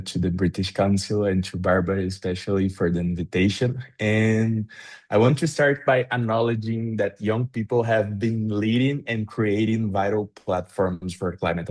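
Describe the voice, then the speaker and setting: Low-voiced Brazilian man on a video call. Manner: earnest, formal, with fillers and restarts. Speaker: panelist. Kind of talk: webinar panel answer.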